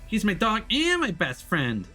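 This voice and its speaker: A man's voice: sits high, wildly swooping pitch, measured pace, bright, forceful tone, soft background music, pitched above the performer's own voice, exaggerated voice. A man voicing a cheerful, innocent young boy who is eager and devoted to his dog. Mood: eager, bright.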